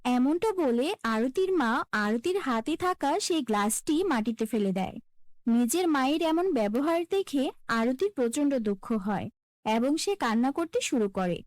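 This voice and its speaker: A woman tells a scripted fairy tale in a high voice. Her delivery rises and falls with lively melody, and the recording is dry, with no room sound. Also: unhurried, dry recording. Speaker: storyteller.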